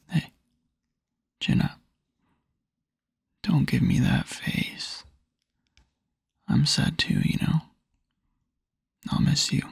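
Man playing tender young boyfriend, ASMR roleplay, husky near-whisper, very wide pitch swings, close dry mic, wistful, halting. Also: no echo, exaggerated voice. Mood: tender, sad. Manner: soft, halting, intimate murmur with small chuckles. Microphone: close microphone, dry.

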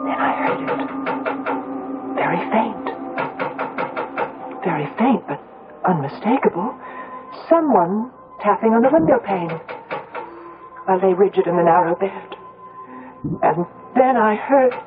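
A troubled grown daughter tells her vampire tale, played by a woman in 1970s radio drama. Her delivery is dramatic and deliberate. Music plays clearly behind her on a thin, band-limited broadcast. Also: mid-range voice, lively intonation, narrow, tinny audio, lightly acted. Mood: fearful, hushed. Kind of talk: 1970s radio drama acting, narrating and dialogue.

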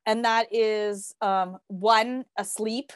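A woman's voice: a little high, steady tone, slow, clear, resonant tone, dry recording, clean studio sound. A woman co-hosting a podcast as a health coach, speaking in casual conversation.

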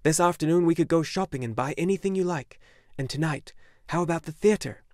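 A man's voice: on the high side, fairly quick, a little mellow, no echo, clean studio sound, pitched above the performer's own voice, close to an everyday voice. A man voicing a cheerful, doting father in an audiobook, jovial and expansive. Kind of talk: audiobook character voice.

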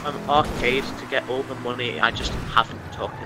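A man's voice: mid-range voice, steady tone, a little fast, background noise. A young man bantering casually as he plays a game, teasing and mock-dramatic. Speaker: gaming co-player. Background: music and game sounds.

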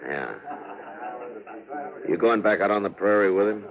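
A man with an American accent playing a stern, watchful frontier marshal in a radio western, speaking in terse, measured lines. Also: on the low side, phone-quality sound, crowd noise. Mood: grave. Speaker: actor, lead in radio western. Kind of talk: 1950s radio western acting. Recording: band-limited 1950s radio recording with music.

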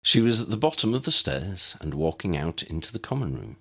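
A man narrating a scripted story in a measured, expressive storybook manner. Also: deep voice, creaky, breathy edge. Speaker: audiobook narrator and author.

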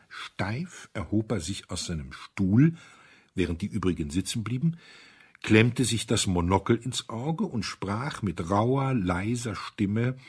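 A man reads a story as an audiobook narrator. His lowish voice is strongly husky and breathy. His intonation moves widely and dramatically.

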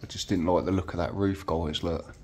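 Deep-voiced man exploring. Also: steady tone, fairly quick, darkish tone, slight background noise. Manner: chatty, easily impressed, matey. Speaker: urban explorer host. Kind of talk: casual exploring commentary. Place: dark abandoned old house, indoors and out.

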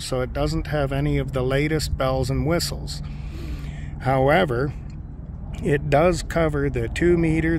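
A man giving an informal, matter-of-fact walkthrough of his gear, explaining each piece as a hobbyist. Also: a little high. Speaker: amateur radio hobbyist presenting gear. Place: outdoors on a lawn. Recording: outdoor recording with clear background noise.

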